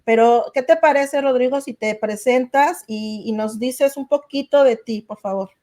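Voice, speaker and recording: A woman hosts a faith-based podcast interview in a fairly high, mellow voice. She talks effusively and gratefully, often pausing on "eh.". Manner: warm, effusive, grateful, with many "eh" fillers. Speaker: podcast host. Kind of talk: casual interview chat.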